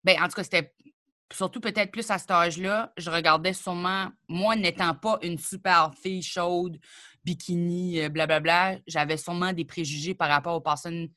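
Bright, chatty Acadian woman. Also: lowish voice, no room sound, clean studio sound. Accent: Acadian French. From New Brunswick, Canada. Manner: chatty, animated, quick asides. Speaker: comedian and rapper, guest. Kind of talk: casual interview chat.

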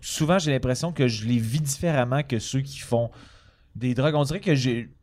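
A man's voice: mid-range voice, moderate pace, darkish tone. A man with a Quebec French accent, talking casually and humorously as a guest in a conversation.

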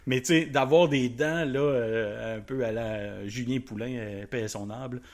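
A man's voice: medium pitch, measured pace. A man, chatting on a game panel, rambling and laughing through his picks. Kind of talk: casual chat.

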